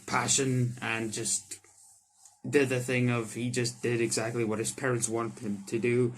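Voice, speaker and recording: A man's voice: medium pitch, husky. Casual man doing let's play commentary. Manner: informal, slangy, swearing, reacts aloud to what he reads. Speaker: gaming YouTuber, host. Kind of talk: let's play commentary, reading visual novel text aloud with casual asides.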